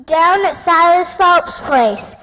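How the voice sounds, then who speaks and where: Gossipy Southern youngster: extremely high, slow drawling delivery, reverberant radio-theatre sound. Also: female voice, lively intonation, sharp tone, full character voice. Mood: eager. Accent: American Southern. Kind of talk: old-time radio theatre acting.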